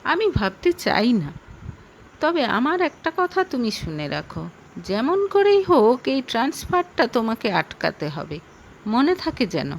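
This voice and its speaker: A woman's voice: a little high, huge pitch swings, a little slow, slight background noise, exaggerated voice, poor audio. A woman voicing an arrogant, menacing young male lover in an audio story, sneering and commanding. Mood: threatening.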